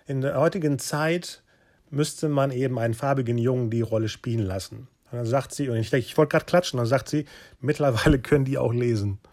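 A man's voice: mid-range voice, brisk, a little mellow. A man hosting a podcast, telling anecdotes in a colloquial, casual way. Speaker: podcast host.

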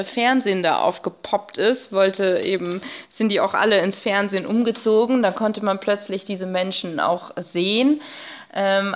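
A woman speaking as a guest expert in a casual podcast chat, explaining animatedly and often restarting her thoughts. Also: mid-range voice.

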